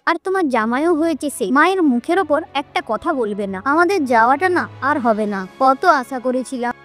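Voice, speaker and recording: High-pitched jealous young sister-in-law, acted by a woman. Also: animated, a little fast, fairly bright tone, faint music behind. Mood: jealous, complaining. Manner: complaining, scolding. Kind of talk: Bengali cartoon fairy-tale voice acting.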